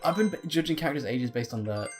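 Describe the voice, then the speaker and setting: Male co-host for gameplay chat, medium pitch, wry and joking, with game music clearly audible behind. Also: lively intonation, fast. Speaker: let's play co-host. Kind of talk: casual gameplay commentary chat. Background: video game music.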